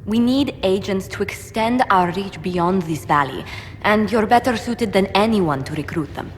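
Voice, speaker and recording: A woman's voice: medium pitch, expressive, normal speed, in a large hall, slight background noise, close to an everyday voice. An actress playing a cool, persuasive female agent in a video game, direct and serious.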